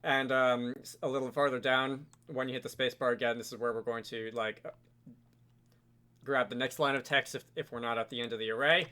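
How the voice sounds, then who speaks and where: A man narrating a software tutorial casually and informally, thinking aloud as a host with a wry streak. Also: mid-range voice, fairly even intonation, brisk, smooth.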